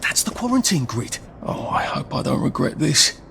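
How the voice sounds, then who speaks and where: A man's voice: on the high side, sing-song, moderate pace, gravelly, background noise, exaggerated voice. An actor playing an anxious, wry Englishman in a video game, muttering to himself with a British accent. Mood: wry, nervous. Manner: wry, muttering, dryly sarcastic. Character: anxious, wry, fussy English man on the run. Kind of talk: video game character monologue, talking to himself.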